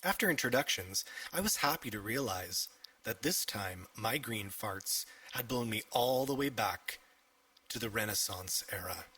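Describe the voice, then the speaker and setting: Man as cheeky boy narrator, children's audiobook, excitable, emphatic, forceful husky voice, slightly distant mic. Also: lowish voice, a little slow, full character voice. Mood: impressed. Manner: animated, emphatic storytelling. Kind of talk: first-person children's audiobook storytelling.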